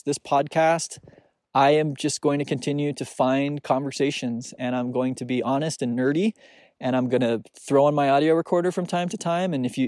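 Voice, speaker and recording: A man's voice: medium pitch, darkish tone, no echo, clean studio sound. A man hosting his own podcast, talking through his thoughts in a reflective solo monologue with a soothing voice, often stopping to restart, recorded outdoors on a mountain hike. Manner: halting, searching, with many restarts and fillers. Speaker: podcast host.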